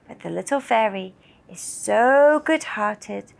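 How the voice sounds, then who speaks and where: A woman telling a children's puppet story in a gentle, deliberate and soothing manner. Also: medium pitch, animated, gravelly. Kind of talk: slow narrated children's story.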